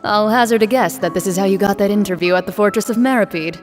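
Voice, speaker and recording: An actress playing a composed, reserved female duelist in a video game, speaking in a calm, formal, dry manner. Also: medium pitch, fast talker, over music, close to an everyday voice. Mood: measured, knowing.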